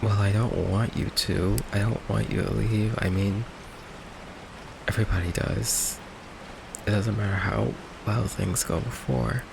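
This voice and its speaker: A man playing a gentle, insecure goth vampire boyfriend in an ASMR roleplay, soft-spoken, halting and apologetic, with a gravelly voice. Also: fairly low pitch, noisy background. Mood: resigned, hurt. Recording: steady rain ambience behind the voice. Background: rain ambience.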